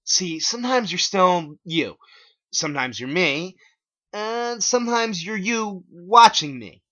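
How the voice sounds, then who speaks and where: Man doing a smug, forceful story villain. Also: high voice, expressive, slow, dull audio, voice pushed up, full character voice. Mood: smug, mocking. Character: smug, commanding male villain alter ego, taunting.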